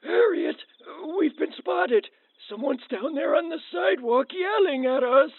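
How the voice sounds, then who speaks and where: A man's voice: extremely high pitch, animated, a little slow. A man playing a meek, friendly, hapless husband behind a camera, hesitant, apologetic and naively polite. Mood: friendly, flustered. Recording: thin phone-like camcorder audio.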